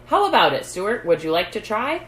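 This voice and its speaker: A woman's voice: medium pitch, very animated, moderate pace, fairly bright tone, roomy sound, slight background noise. A woman voicing a curious, encouraging storybook father with a bold, inquiring manner.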